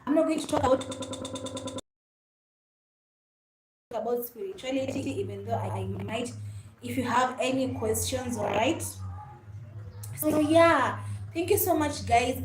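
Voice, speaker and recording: High-pitched Kenyan woman chatting in an echoey room. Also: a little slow, pauses often. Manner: friendly, mixes English and Swahili, often thanks and greets viewers. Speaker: livestream host. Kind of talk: casual livestream chat. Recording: echoey room with faint background noise.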